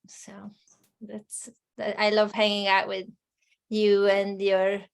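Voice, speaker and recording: Hungarian-accented woman, podcast guest, soft and halting, middle pitch. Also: completely flat delivery, clear, resonant tone. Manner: soft-spoken, hesitant with many restarts. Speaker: interview guest. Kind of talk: casual conversation.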